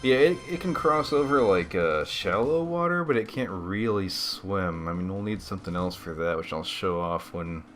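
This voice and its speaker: A man with a fairly low voice talks over music as he commentates a video game. He is casual and laid-back, rambling along in slang like a let's play host. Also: lively intonation, moderate pace, few pauses, fairly bright tone.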